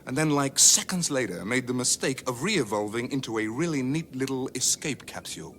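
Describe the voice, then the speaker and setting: Cocky, slangy space rogue. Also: male voice, mid-range voice, lively intonation, brisk, fairly bright tone, a little hiss or hum. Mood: smug, laid-back. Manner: slangy, swaggering, impatient. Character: brash, cocky, hip space rogue and ex-president. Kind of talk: British radio comedy drama acting.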